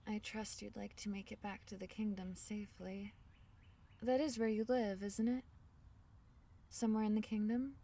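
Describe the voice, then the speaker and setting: A woman playing a small, shy, kind forest fairy in an intimate ASMR fantasy roleplay, speaking softly and hesitantly. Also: medium pitch, vocal fry, distant mic. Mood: tender concern. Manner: soft-spoken, gentle, hesitant, breaking into whispers.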